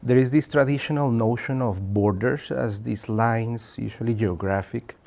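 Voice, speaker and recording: A man asking an academic interview question in a careful, deliberate way. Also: mid-range voice, vocal fry, a little mellow.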